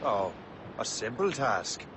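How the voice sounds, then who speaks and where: An actor playing a defiant, dryly sardonic young assassin in a video game cutscene. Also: male voice, mid-range voice, sing-song, takes it slowly, background noise. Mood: wry. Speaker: assassin, lead character.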